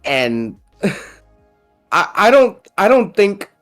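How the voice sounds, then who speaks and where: A woman's voice: mid-range voice, sing-song, drawn-out words, faint music behind. Exasperated, opinionated woman co-host. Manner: opinionated, exasperated, repeats herself. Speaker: podcast co-host. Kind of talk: casual chat.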